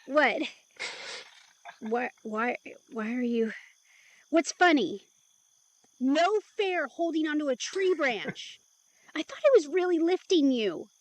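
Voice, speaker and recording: A woman's voice: high-pitched, expressive, slow pace, pauses often. A woman playing an eager, playful, stubborn young woman in an intimate audio drama, perky and insistent. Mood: miffed.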